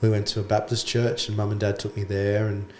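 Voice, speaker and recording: Croaky-voiced worship pastor, low. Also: male voice, brisk. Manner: relaxed, chatty, says mate and bro. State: hoarse, lost his voice. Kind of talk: casual podcast conversation. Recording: echoey room, faint background noise.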